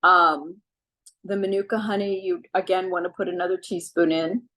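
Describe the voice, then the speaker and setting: A middle-aged woman giving casual health advice on a video call, relaxed and chatty with frequent ums. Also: lowish voice, normal speed. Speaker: wellness speaker.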